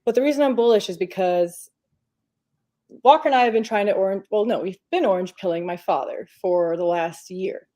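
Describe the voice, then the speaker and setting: A woman's voice: medium pitch, brisk, warm tone. A woman from Romania, a podcast panelist, telling a story in a casual panel discussion and acting out family dialogue.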